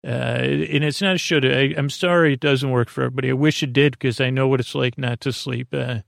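A man hosting a sleep podcast in a creaky voice, meandering and halting through a silly improvised bedtime ramble. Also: medium pitch, fairly even intonation, dry recording, clean studio sound.

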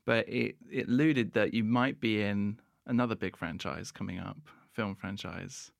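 A man's voice: lowish voice, moderate pace, creaky, no echo, studio quality. A man hosting an interview podcast, enthusiastic and playful, laughing a lot. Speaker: podcast host. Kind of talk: casual interview chat.

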